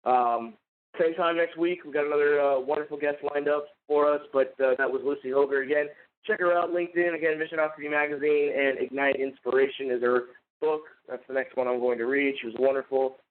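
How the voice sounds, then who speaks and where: A man's voice: fairly high pitch, fairly even intonation, fairly quick. A man hosting a podcast, wrapping up the show with effusive thanks and rambling sign-offs. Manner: effusive, rambling with fillers and restarts. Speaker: podcast host. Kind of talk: casual show outro. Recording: phone-like narrow line.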